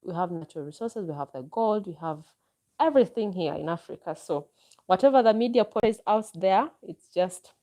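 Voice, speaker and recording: A woman's voice: lowish voice, lively intonation, fairly quick, warm tone. A Ugandan woman vlogger chatting casually and enthusiastically as she answers viewer comments, with frequent exclamations. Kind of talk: casual live chat.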